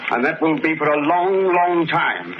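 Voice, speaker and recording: An actor playing a jealous, haunted husband in an overwrought old radio melodrama. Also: male voice, fairly high pitch, sing-song, measured pace. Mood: menacing, angry. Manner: overwrought, theatrical. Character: jealous, haunted husband tormented by a cursed mask. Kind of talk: 1930s radio melodrama acting. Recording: muffled, noisy old radio recording.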